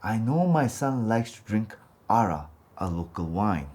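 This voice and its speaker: A man's voice: fairly low pitch, very animated, a little slow, darkish tone. A man voicing a secretive, coaxing, deceitful mother of a grown son in a folktale. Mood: plotting. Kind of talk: folktale storytelling.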